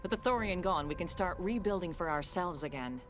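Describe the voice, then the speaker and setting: Actress as female colonist, plain-spoken, lowish voice, music behind, video game. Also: animated, a little fast, lightly acted. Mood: relieved, grateful.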